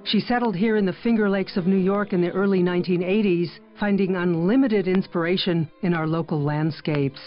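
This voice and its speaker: A woman's voice: fairly low pitch, brisk, few pauses, soft background music. A woman hosting an interview show, asking friendly questions and often repeating words as she starts them. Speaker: radio show host. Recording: dull lo-fi sound.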